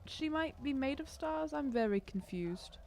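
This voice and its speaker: A woman's voice: high voice, expressive, a little slow, gravelly, a little mellow, slight background noise. A woman chatting casually as a player in a tabletop game, giggly and often questioning. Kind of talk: casual improvised game chat.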